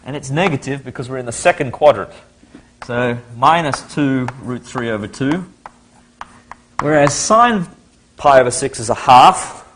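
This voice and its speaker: A man's voice: mid-range voice, lively intonation, measured pace, a bit bright, a little hiss or hum. A middle-aged man with an Australian accent, giving a live maths lecture in a chatty way, thinking aloud at the board.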